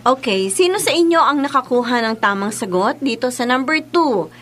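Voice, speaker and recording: Stern scolding teacher, high voice, bright forceful tone. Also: female voice, animated, moderate pace, soft background music. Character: strict stern female teacher. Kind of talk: radio drama acting.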